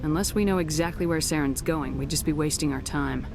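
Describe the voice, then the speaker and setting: A woman's voice: low-pitched, brisk, music in the background. An actress playing a stern female military commander in a video game, curt, firm and authoritative. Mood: matter-of-fact, firm. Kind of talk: dramatic video game acting.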